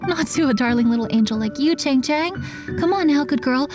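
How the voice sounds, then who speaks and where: A kindly young townswoman for a video game. Her voice sits a little high, and her manner is gentle, caring and friendly. Faint music runs underneath. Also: expressive, brisk. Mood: doting. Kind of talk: video game character dub.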